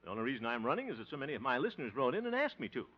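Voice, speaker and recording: An actor playing a good-natured, flustered homemaker host who is running for mayor, in a 1950s radio comedy. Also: male voice, mid-range voice, big pitch swings, rapid-fire. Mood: earnest. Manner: chuckling, flustered, rehearsing aloud. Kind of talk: 1950s radio sitcom acting. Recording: dull old 1950s radio broadcast.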